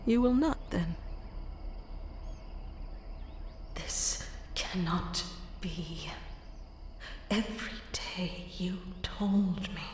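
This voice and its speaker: A woman's voice: on the low side, takes it slowly, slight background noise. A woman voicing an obsessive, clingy and powerful female kitsune familiar in an intimate, theatrical ASMR roleplay. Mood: crushed, cold. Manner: intimate, theatrical, swinging between hushed and pleading.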